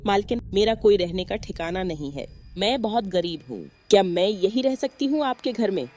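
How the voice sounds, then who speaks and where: A woman's voice: mid-range voice, animated, normal speed, natural voice. A woman voicing a witch disguised as a maid. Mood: pleading. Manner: humble, pleading servant talk. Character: witch posing as a poor young housemaid. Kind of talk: cartoon voice acting. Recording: loud background music.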